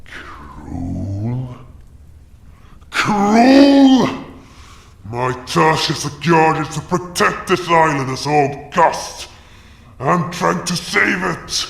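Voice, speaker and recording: Bitter, vengeful guardian beast for audio drama male actor: commanding, contemptuous, creaky delivery, slow pace, echoing space. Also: fairly high pitch, very animated, fairly bright tone, heavily acted. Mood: outraged. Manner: scornful, commanding.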